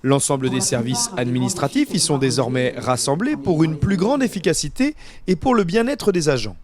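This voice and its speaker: A man's voice: on the high side, lively intonation, moderate pace, a crowd in the background. A man narrating a scripted local news report as a reporter.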